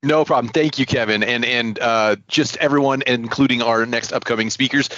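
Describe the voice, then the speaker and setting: A man's voice: medium pitch, steady tone, moderate pace, a bit bright, highs cut off. Man hosting an online community call. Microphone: computer mic on a video call.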